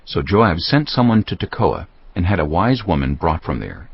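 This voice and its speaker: Very deep, gravelly male narrator. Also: big pitch swings, moderate pace, lo-fi sound. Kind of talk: scripted dramatic scripture narration.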